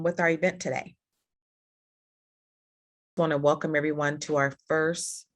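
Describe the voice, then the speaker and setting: A woman with a low voice welcomes everyone to an online event over a video call. She speaks hesitantly and leans on frequent fillers. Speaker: event organizer. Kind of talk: welcoming remarks.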